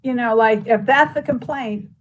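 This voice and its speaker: A woman's voice: on the high side, moderate pace, muffled recording, a little hiss or hum. Rambling woman commissioner at a meeting. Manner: rambling, heavy use of "you know, like". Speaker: county commissioner. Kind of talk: public meeting discussion. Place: meeting room.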